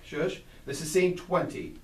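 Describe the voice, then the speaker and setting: A man directs a recording session in an informal, hands-on way, acting out lines for his cast. His voice is fairly high and very lively, caught in an echoey room. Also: unhurried. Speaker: audio drama director. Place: indoor audio drama recording session. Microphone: small video camera at a distance. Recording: roomy, distant small video camera sound, faint noise.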